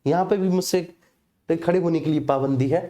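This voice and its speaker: A man's voice: fairly high pitch, normal speed, mellow. A man giving an informal exam lesson, explaining conversationally with frequent check-in tags. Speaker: teacher for police exam coaching.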